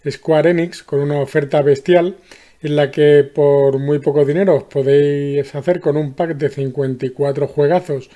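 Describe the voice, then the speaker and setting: Higher-voiced Spanish man, soft mellow tone. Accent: Spanish from Spain. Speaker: host of a video game news channel. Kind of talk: scripted news narration.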